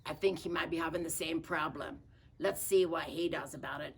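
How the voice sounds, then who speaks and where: A woman's voice: sits low, steady tone, brisk, gravelly, breathy edge. A woman reading a picture book aloud to children playfully, with folksy, chatty asides. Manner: folksy, informal grammar. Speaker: storybook reader for children.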